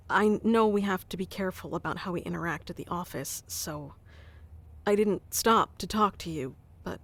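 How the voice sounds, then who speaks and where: A woman in an ASMR roleplay voicing a stubborn young woman boss, stern yet caring, speaking softly and intimately into a close microphone. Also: mid-range voice.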